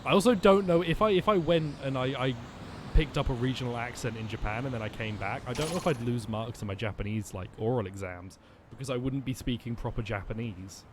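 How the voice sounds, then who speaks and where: A man's voice: mid-range voice, fairly quick. Young man chatting over a noisy stream. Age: young adult. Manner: chatty, thinking aloud, frequent ums and restarts. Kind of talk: gaming commentary.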